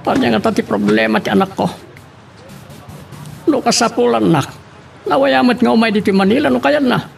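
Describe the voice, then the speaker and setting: A man's voice: very high-pitched, animated, moderate pace, vocal fry, soft background music, heavily acted. An actor playing a tipsy, wealthy older father and boss in a radio drama. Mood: gruff, puzzled. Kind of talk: Ilocano radio drama acting.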